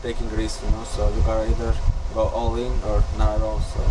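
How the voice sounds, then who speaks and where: A man in his twenties from Albania, a native Albanian speaker, answering casually in halting, slangy English in an interview. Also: fairly low pitch, monotone, very noisy. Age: 20s. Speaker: interview guest, club promoter and artist.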